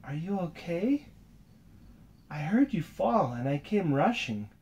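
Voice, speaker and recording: A man voicing a kind, secretive giant little girl in a bedtime story, with a soft, hesitant, coaxing way of talking. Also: fairly high pitch, very animated, slow, slight background noise, pitched above the performer's own voice, heavily acted. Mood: soothing.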